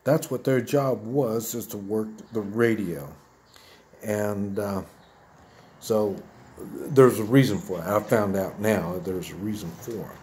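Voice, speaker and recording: An older man in his seventies hosts a casual gear video over noticeable background noise. He speaks very slowly in a lowish voice. His delivery is plain-spoken and halting, circling back to repeat himself as he rambles. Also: a little mellow. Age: 70s. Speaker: video host.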